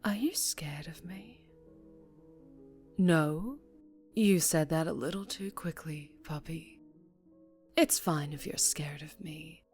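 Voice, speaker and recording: A woman playing a dangerously charming female mafia boss in an ASMR roleplay, teasing and deliberate, often slipping into whispers. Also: deep voice, darkish tone, soft background music. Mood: probing, menacing.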